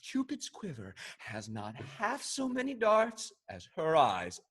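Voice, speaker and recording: A man's voice: a little high, huge pitch swings, slow, fairly bright tone, husky, heavily acted. An actor playing a roguish, lustful English sea captain in a Restoration comedy, savouring his words theatrically. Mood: swooning, lustful. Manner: theatrical, lingering, savouring delivery with exclamations. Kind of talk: Restoration comedy stage acting.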